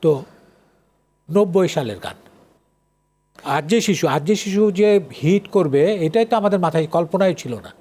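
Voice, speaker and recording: A man's voice: high-pitched, expressive, unhurried, pauses often, mellow. An older man with a Bangladeshi accent talks casually as an interview guest, explaining in an engaged, conversational way and checking that the listener follows. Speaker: guest, song lyricist and writer.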